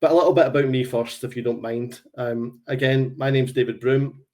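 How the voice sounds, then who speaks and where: A man's voice: medium pitch, steady tone, a little fast, a little mellow. A man of around forty with a Glaswegian Scottish accent, presenting a business webinar on a call in a conversational, anecdotal way. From Glasgow, Scotland. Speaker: webinar presenter, sales consultant.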